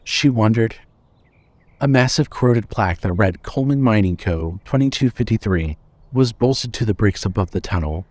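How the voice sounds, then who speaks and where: A man's voice: on the low side, expressive, normal speed, darkish tone, no echo. A man reading scripted fiction as the narrator of an audio story.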